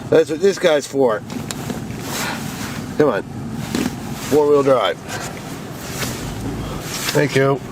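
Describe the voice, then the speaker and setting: A man with a cold, stuffed up and hoarse, chatting casually, swearing and laughing while he drives his truck through snow. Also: mid-range voice, very slow, pauses often. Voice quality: hoarse, scratchy. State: stuffed up with a cold, voice going froggy. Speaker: vlogger. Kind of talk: casual rambling vlog while driving. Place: in a vehicle, driving in snow. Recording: loud vehicle and road noise.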